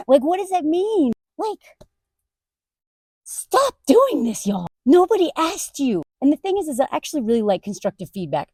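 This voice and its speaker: A woman content creator ranting straight to camera, emphatic, her voice jumping up high on indignant questions. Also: mellow, dry recording. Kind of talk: direct-to-camera rant.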